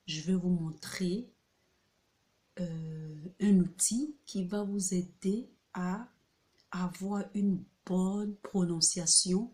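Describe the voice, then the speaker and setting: A woman hosting a casual video tutorial, talking hesitantly with many filler pauses and restarts, often checking in with the listener. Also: on the low side, a little mellow. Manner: repeats key points, checks in with "ok?". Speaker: video host presenting a pronunciation book. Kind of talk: casual spoken tutorial to camera.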